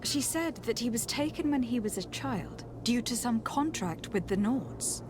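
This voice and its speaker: A woman's voice: on the high side, expressive, moderate pace, over music, natural voice. A young woman playing a courteous, composed noble envoy in a video game, speaking formally and asking probing questions. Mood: thoughtful. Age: young adult. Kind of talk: dramatic game dialogue.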